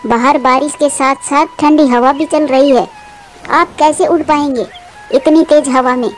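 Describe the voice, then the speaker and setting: A woman's voice: high voice, steady tone, unhurried. A woman voicing an anxious, devoted mother bird in a moral cartoon, earnest and pleading. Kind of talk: Hindi moral cartoon dubbing.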